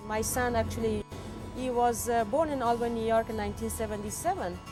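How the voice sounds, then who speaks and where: A woman from Afghanistan in her fifties gives a personal account in an interview, her voice sitting slightly high. She speaks haltingly, often restarting a phrase before pressing on with emphasis. Music runs clearly beneath her words. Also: fairly even intonation, poor audio.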